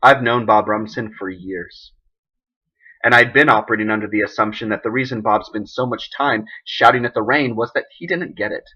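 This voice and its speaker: A man playing an embattled, idealistic president who gives an emphatic, deliberate, indignant speech in a dramatic monologue. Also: lowish voice, sharp tone, slightly rough, lo-fi sound. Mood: scornful, pointed.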